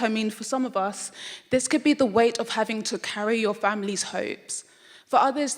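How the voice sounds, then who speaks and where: A young British woman student giving an earnest, reflective talk to a live audience. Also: a little high. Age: young adult. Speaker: student speaker. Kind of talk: prepared public talk. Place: lecture hall with audience. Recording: long hall reverb.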